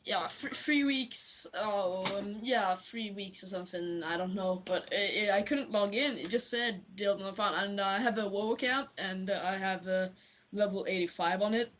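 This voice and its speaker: A woman playing a hapless, clueless customer on an improvised prank call, talking in halting, rambling stammers. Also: mid-range voice, bright, forceful tone, lightly acted, low-quality recording. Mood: hesitant, disappointed. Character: hapless, clueless gamer customer pleading for help. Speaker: prank caller.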